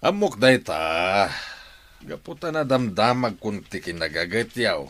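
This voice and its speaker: Low, animated older village uncle played by an actor. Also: male voice, a little slow, exaggerated voice. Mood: welcoming. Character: kindly older village uncle, garden owner, welcoming. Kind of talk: radio drama acting.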